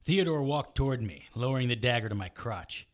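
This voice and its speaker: A man's voice: mid-range voice, lively intonation, brisk, close to an everyday voice. A man playing a hard-boiled hero, narrating a violent fight in terse, matter-of-fact first person for an audio drama. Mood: tense, grim.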